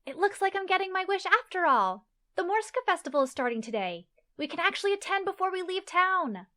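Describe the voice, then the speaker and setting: Excitable, bossy young adventurer for a fantasy audio play female performer, high and insistent. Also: brisk, exaggerated voice. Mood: excited, impatient. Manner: bubbly, insistent. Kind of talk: fantasy audio drama dialogue.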